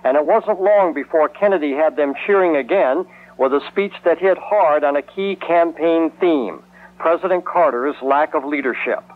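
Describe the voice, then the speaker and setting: Higher-voiced American male radio reporter. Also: moderate pace. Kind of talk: scripted news report. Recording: narrow, tinny 1970s radio sound.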